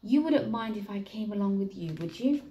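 Timid lion, female storyteller: storybook, expressive, pleading, animated. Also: medium pitch, moderate pace, reverberant, muffled recording, slight background noise, close to an everyday voice. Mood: frightened. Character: lazy lion turned timid and whimpering. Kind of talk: children's storybook read-aloud.

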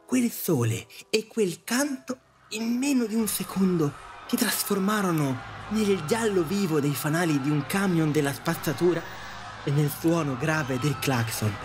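A man's voice: fairly high pitch, natural voice. A man acting a wistful, reflective and hesitant passenger in the afterlife, in an audio drama. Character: wistful male passenger in the afterlife, longing for love. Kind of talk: audio drama narration and dialogue.

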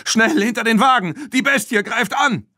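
A man voicing a haughty, irascible horse buyer in an audiobook, with a scornful, curt manner that turns shrill in anger. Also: moderate pace, pushed and bright, no room sound, clean studio sound, heavily acted. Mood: panicked shrieking. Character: haughty, irascible male horse buyer, spiteful and easily panicked.

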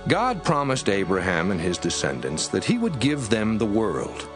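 A man reading scripture as a scripted audiobook, with an emphatic, measured and rhetorical delivery. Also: on the low side, huge pitch swings. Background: music under the voice.

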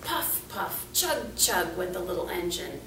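A woman's voice: on the low side, very animated, a little slow. A middle-aged woman reading a children's picture book aloud as a storyteller, indoors and a little way from the camera. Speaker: volunteer storybook reader. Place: small indoor room, seated. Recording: roomy, distant camera mic, faint noise.

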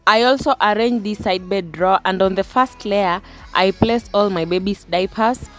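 A woman's voice: mid-range voice, moderate pace, soft background music. A young woman, chatting casually to viewers as she tidies her bedroom, recorded on a phone. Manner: chatty, talks viewers through her tidying with small restarts. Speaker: vlogger. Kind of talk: casual home vlog narration. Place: bedroom at home.

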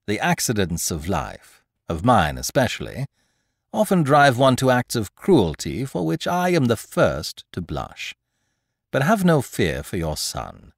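A man voicing a suave, mocking gentleman thief who talks in a jaunty, patronizing, theatrical way. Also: medium pitch, wildly swooping pitch, a little slow, gravelly, dry recording, studio quality, exaggerated voice. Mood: courtly.

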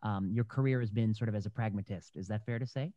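A man's voice: on the low side, fast talker, warm tone, dry recording. Man on a dull, dry video call. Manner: thoughtful, hesitant, many fillers and restarts. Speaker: podcast host. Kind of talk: conversational interview. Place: home room. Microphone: headset mic on a video call.

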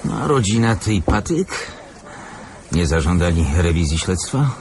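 A man's voice: deep voice, big pitch swings, measured pace, darkish tone. A man playing an inquisitive, persistent investigator in a radio play, putting probing, slightly hesitant questions. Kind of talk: Polish radio play acting.

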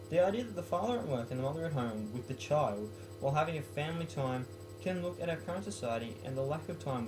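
A man's voice: mid-range voice, poor audio. A young man in his late teens or early twenties, speaking casually as a student vlogger at home. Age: late teens to young adult. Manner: self-mocking, jokey. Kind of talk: casual talk and poem reading. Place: small bedroom, indoors.

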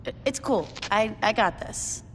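A woman's voice: mid-range voice, lively intonation, a little slow, slight background noise, natural voice. An actress playing a laid-back young female gang recruit in a video game cutscene. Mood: casual, hesitant.

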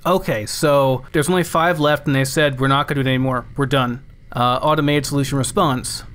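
A man's voice: mid-range voice, steady tone, brisk, fairly bright tone. A man giving casual let's play commentary, thinking aloud as he plays.

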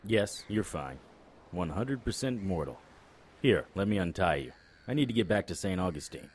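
An actor playing a cocky, unflappable supernatural private investigator in an audio drama, full of wry, flippant banter. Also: male voice, deep voice, animated, normal speed, husky. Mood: impatient.

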